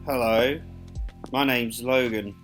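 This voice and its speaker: A woman playing a shy, polite, apologetic young man in an audio drama, quiet and hesitant. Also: rumbling low, fairly even intonation, takes it slowly, soft background music.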